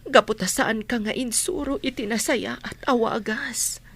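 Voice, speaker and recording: A woman's voice: fairly high pitch, moderate pace, a bit bright. A woman with a Filipino accent playing an anguished, loving older mother in an audio drama. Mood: sorrowful, tender.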